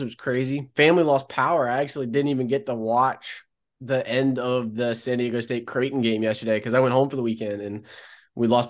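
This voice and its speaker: Upbeat man hosting a sports podcast. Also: mid-range voice. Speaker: podcast host. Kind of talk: casual sports podcast chat. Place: Columbia.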